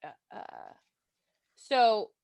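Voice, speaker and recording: Woman guest chatting haltingly in a dry space. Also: darkish tone, no room sound. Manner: halting, peppered with "like". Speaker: guest listener. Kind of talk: casual podcast chat.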